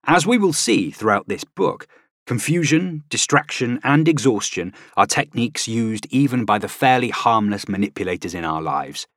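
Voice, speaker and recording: A man's voice: lowish voice, animated, normal speed, a bit bright, no echo, clean studio sound. A man reading scripted nonfiction as an audiobook narrator, with a dramatic, emphatic delivery.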